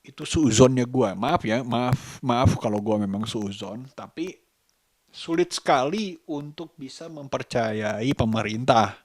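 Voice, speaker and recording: A man from Indonesia talks casually to camera as a vlogger, musing and halting, drawing out some words. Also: mid-range voice, no room sound. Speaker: vlogger, host of a daily vlog. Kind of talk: casual vlog monologue to camera.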